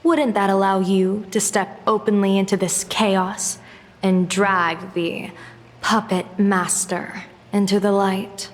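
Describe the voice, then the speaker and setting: A woman voicing a composed, shrewd general who speaks in a calm, deliberate way, in a game cutscene. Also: mid-range voice, natural voice. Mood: persuasive. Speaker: game character. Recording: game cutscene with reverb and music.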